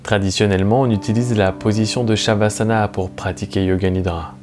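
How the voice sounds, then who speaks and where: A low male voice leads a guided meditation. He speaks slowly and soothingly, leaving long, measured pauses between phrases. Soft plucked guitar music plays faintly behind him. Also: fairly even intonation. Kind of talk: guided relaxation narration.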